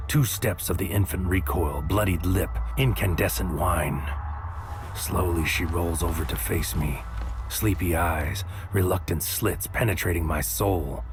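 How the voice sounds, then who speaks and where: A man's voice: deep voice, gravelly. A man playing a tormented, brooding man who narrates his surreal story in a poetic, weighty audio drama style. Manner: poetic, weighty inner monologue.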